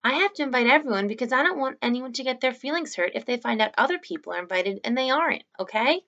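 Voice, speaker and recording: Woman reading as insistent young schoolboy, pitched up. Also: expressive, fast talker, creaky, pushed and bright, lo-fi sound, full character voice. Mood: earnest. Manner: insistent, questioning, informal kid phrasing. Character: young schoolboy, earnest and questioning.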